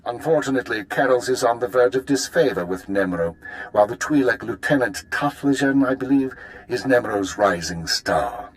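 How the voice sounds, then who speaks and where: An actor playing a cool, commanding intelligence spymaster in a video game, speaking formally and precisely with authority. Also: male voice, mid-range voice, lively intonation, moderate pace, close to an everyday voice, rough recording. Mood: calculating, satisfied. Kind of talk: video game cutscene acting.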